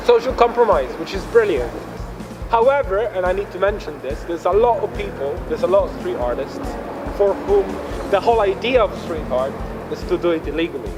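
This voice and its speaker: A man raised in Colombia, guiding a street art tour outdoors in a chatty, relaxed way. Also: high-pitched. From born in Italy, raised in Colombia. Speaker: tour guide. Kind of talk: casual explaining on a walking tour.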